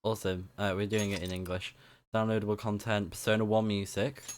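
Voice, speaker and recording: Low-voiced young male game streamer. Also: flat delivery, a little fast, clean tone. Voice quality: slightly scratchy morning voice. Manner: enthusiastic, thinking aloud. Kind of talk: casual live Let's Play commentary. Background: game music.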